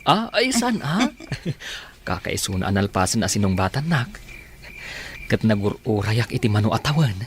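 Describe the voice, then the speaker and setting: A man's voice: fairly low pitch, big pitch swings, moderate pace, a little hiss or hum. A man playing an eager, tender young man in love in an emotive, pleading radio drama style. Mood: overjoyed. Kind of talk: Ilocano radio drama acting. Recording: radio drama with music underneath.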